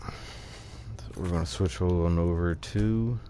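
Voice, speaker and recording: A man's voice: low voice, steady tone, slow pace, warm tone, slight background noise. A man giving casual, laid-back, slangy commentary over his own gameplay. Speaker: gaming host.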